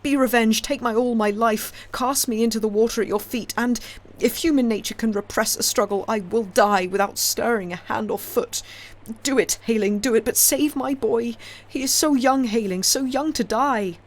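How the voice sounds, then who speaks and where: A woman's voice: on the high side, moderate pace, few pauses, pitched above the performer's own voice, natural voice. A woman voicing a desperate old father in a storybook, pleading frantically with stammered, gasping cries. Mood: anguished begging. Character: frantic old father begging desperately for his drowning son.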